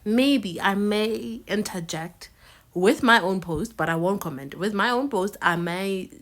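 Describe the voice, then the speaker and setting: A woman from South Africa with a South African accent, speaking at a mid pitch. She comments to camera as a reaction video host, firm and emphatic, hammering points by repeating them. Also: a little slow, fairly bright tone.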